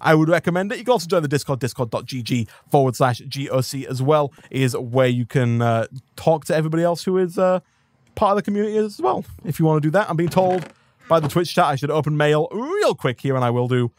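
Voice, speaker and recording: A man commentating his own live gameplay stream in an upbeat, rambling way, thanking viewers, his voice a little hoarse. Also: on the high side, lively intonation, fast, a little mellow, no echo. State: slightly hoarse.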